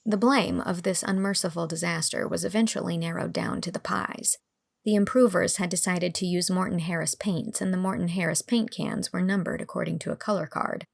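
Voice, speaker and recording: A woman's voice: on the low side, steady tone, a little fast, creaky. A woman reading scripted narration for a classic storybook.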